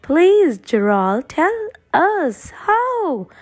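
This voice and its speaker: A woman voicing a crowd of excitable jungle animals in a children's storybook, with theatrical exclamations. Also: very high-pitched, very slow, voice pushed up, exaggerated voice. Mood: amazed, pleading. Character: crowd of jungle animals, sneering then awestruck.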